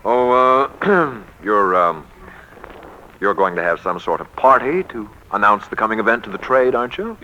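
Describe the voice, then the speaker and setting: An American actor playing a brash, sardonic, lovesick book publisher in a 1940s radio romantic comedy. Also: male voice, fairly low pitch, lively intonation, moderate pace, bright, forceful tone. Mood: flustered, fishing. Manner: wisecracking, insistent, faltering when flustered. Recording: muffled, noisy 1940s radio recording.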